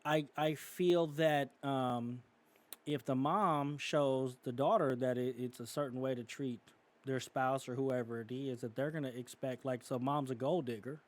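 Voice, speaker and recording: A man from California talks through his views on a podcast in a loose, conversational way. He circles around his points with fillers like "you know" and "um", restarting sentences as he goes, at a middling pitch. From California, United States. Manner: rambling, many fillers and restarts. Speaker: podcast co-host. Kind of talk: casual opinionated discussion.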